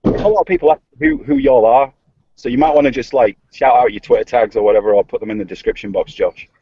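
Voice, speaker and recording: A man's voice: mid-range voice, lively intonation, fast talker, vocal fry, warm tone, a little hiss or hum. A man chatting casually and informally in a group conversation. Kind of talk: casual chat. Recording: muffled, roomless online call.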